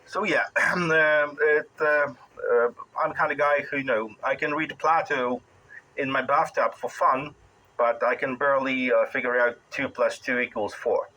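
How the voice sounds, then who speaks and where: A middle-aged man with a Polish accent, chatting casually as an amateur radio operator in a halting, wry, rambling way. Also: medium pitch, fairly even intonation, bright, forceful tone, rough recording.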